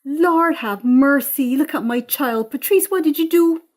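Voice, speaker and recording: A woman's voice: high voice, unhurried, voice pushed up. A woman voicing a stern, wounded older mother, scolding and indignant, in a dramatic script reading. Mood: shocked.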